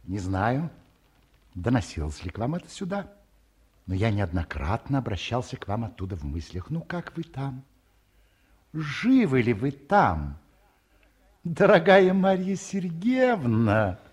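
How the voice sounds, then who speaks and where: Husky, courtly middle-aged academic. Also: male voice, mid-range voice, huge pitch swings, a little slow, long pauses, full character voice. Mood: earnest, nostalgic. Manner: formal, ornate, theatrically hesitant phrasing. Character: courtly, self-satisfied middle-aged male academic, wistfully polite. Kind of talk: mid-century Soviet radio play acting. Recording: muffled-voiced 1950s radio studio recording.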